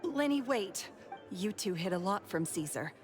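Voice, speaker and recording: An actress playing a bitter, defiant former thief in a video game, scornful and challenging. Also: female voice, medium pitch, expressive, unhurried, lightly acted. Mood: bitter, warning. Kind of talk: dramatic video game voice acting. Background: music.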